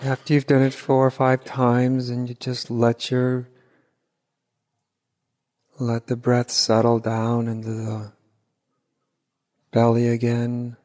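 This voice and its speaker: A man leading an unscripted guided meditation as a teacher, gently and unhurriedly, with long pauses. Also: medium pitch, completely flat delivery. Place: large reverberant room at a retreat. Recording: hall reverb, live retreat recording.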